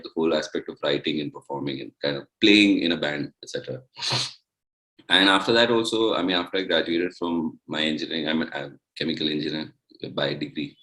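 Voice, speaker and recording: Young male musician, deep, casual storyteller. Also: fairly bright tone, low-quality recording. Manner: loose, rambling storytelling. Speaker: musician guest. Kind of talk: casual interview chat.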